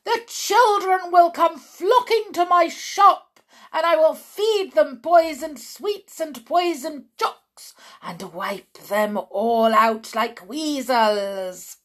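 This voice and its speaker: A woman's voice: extremely high pitch, very slow, few pauses, darkish tone, pitched above the performer's own voice, exaggerated voice. A woman doing a chorus of gleeful witches. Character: chorus of eager, cruel witches.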